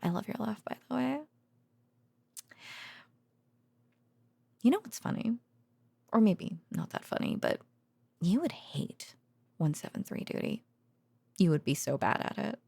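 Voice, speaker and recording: A woman's voice: mid-range voice, sing-song, normal speed, gravelly, a little mellow, breathy edge, dry recording, full character voice. A woman playing a tired, teasing, affectionate researcher girlfriend in an intimate bedtime audio roleplay, rambling hesitantly with wry sarcasm. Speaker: voice actor.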